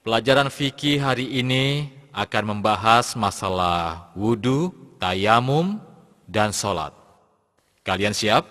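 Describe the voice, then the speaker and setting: A man playing a patient Islamic studies teacher who addresses pupils in a measured, instructive way in a scripted cartoon lesson, recorded with long reverb. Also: on the low side, expressive, fairly bright tone. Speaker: religious teacher.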